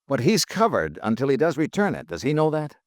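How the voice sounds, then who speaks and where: A hard-boiled male insurance detective narrates and questions people in a clipped, wry radio-drama manner. His voice sits in a middle pitch but moves around a lot. The sound is dry and close. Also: huge pitch swings, fairly quick, no echo. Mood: brisk. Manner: clipped, dry, wisecracking delivery. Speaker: insurance investigator narrating his own case. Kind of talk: 1950s radio drama acting.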